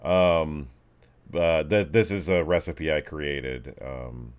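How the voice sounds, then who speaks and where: A man's voice: a bass voice, takes it slowly, smooth. A man improvising narration as game master of a tabletop game, talking in a casual, rambling way.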